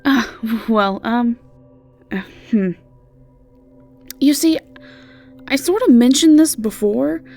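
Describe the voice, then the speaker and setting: A woman's voice: fairly high pitch, animated, slow pace, pauses often, a little mellow, voice pushed up. A woman plays a friendly young innkeeper for a fantasy audio drama. Her voice is lifted and bubbly, and she talks in eager, hesitant stammers with an apologetic manner. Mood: flustered, apologetic.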